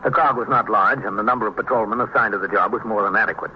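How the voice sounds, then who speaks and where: An actor playing a steady American police captain who narrates and talks in a measured, matter-of-fact way in an old radio drama. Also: male voice, fairly low pitch, lightly acted. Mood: measured, factual. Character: steady, businesslike male police captain, narrating his case. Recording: noisy, dull vintage radio recording.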